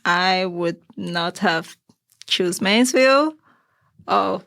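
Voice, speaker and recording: A woman from Nigeria with a Nigerian accent, a guest giving short, soft-spoken answers in a studio interview. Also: lowish voice, extremely slow, creaky. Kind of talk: casual interview chat.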